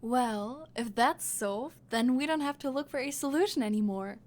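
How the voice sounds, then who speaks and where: A woman's voice: fairly high pitch, normal speed, a little mellow, close to an everyday voice. A woman playing a curious young woman in the group, asking questions.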